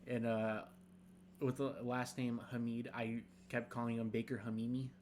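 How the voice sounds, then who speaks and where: A man's voice: medium pitch, flat delivery, a little mellow, slight background noise. A young adult man co-hosting a podcast, chatting loosely with fillers and restarts, sometimes reading a script aloud. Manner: rambling, halting, many fillers. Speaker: podcast co-host.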